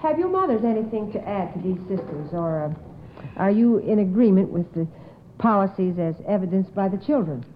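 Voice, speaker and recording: A woman hosting an old-time radio quiz in a formal, measured, encouraging way with precise wording. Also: mid-range voice, expressive, a little hiss or hum. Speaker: quiz show host. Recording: tinny, narrow old radio recording.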